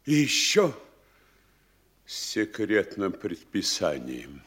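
An actor playing an anxious, self-important provincial town mayor in a studio radio play, talking in a measured, weighty, fretful way. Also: male voice, deep voice, very animated, fairly bright tone, breathy edge, full character voice. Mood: grave. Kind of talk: classic studio radio drama acting.

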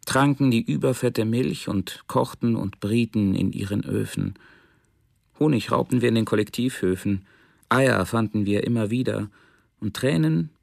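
An actor playing a hardened German soldier in a radio drama, giving a measured, grim first-person testimony. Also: male voice, on the low side, creaky, darkish tone, no room sound, clean studio sound, exaggerated voice. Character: hardened German soldier recounting plunder without mercy.

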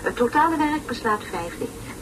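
A woman's voice: on the high side, very animated, a little fast. An actress playing a pompous, long-winded woman lecturer on an educational tape in a radio play, speaking in solemn, over-articulated phrases. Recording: noisy, distant old radio play recording.

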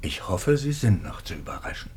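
A man's voice: sits low, sing-song, normal speed, darkish tone, slightly rough, exaggerated voice. An actor playing an earnest male priest in a 1950s radio drama, who speaks up loyally and emphatically for a friend.

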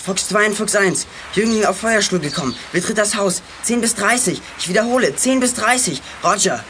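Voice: mid-range voice, animated, normal speed, fairly bright tone, a little hiss or hum, close to an everyday voice. A performer playing an alert kid detective in a youth radio play, giving urgent, clipped walkie-talkie reports.

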